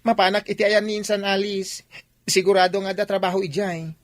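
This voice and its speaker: Woman acting timid youngster, complaining, coaxing, radio drama, medium pitch, very dry sound. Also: level tone, brisk, no room sound, natural voice. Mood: reluctant. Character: timid, reluctant youngster avoiding hard work, coaxing mother.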